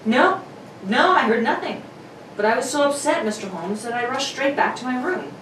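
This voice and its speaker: Actress as distraught young governess, fairly high voice. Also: female voice, expressive, normal speed. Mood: distressed. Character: distraught, earnest young governess accused of murder. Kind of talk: 1940s radio drama acting. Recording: roomy, distant mic with clear noise.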